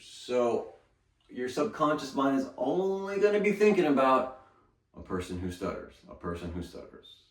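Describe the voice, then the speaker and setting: A man giving an earnest motivational talk as a speaking coach, repeating phrases for emphasis. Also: fairly low pitch. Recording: roomy, from a distance.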